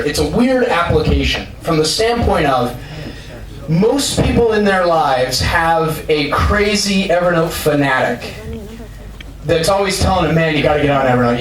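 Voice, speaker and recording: A man giving a casual conference talk to a live audience, loud and chatty, with many fillers and restarts. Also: a little high. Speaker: conference speaker. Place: large university lecture hall. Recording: echoey hall picked up from far off.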